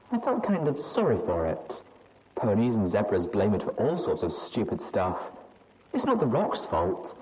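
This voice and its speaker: A woman's voice: very deep voice, mellow, a little hiss or hum, rough recording. A woman reading aloud as an anxious young adult mare pony who rambles hesitantly and doubts herself. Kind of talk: audiobook reading of fan fiction.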